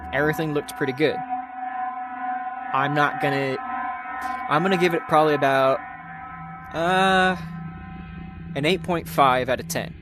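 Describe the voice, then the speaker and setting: A man giving a casual, unscripted game review in a hesitant, rambling way. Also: a little high. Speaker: gaming video host.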